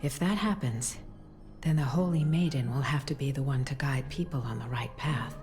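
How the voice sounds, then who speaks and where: An actress playing a gentle, doting priestess mother in a video game, soothing and measured, with a soft breathy voice. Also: a bass voice, faint music behind. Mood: gentle, instructive.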